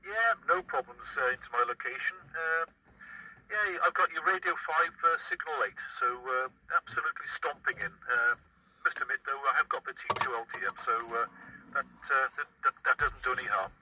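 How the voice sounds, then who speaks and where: A man's voice: medium pitch, a little fast. A man chatting politely over CB radio, hesitant and drily understated. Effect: heard over CB radio. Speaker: CB radio operator. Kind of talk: casual two-way radio chat. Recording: muffled CB radio, loud static and noise.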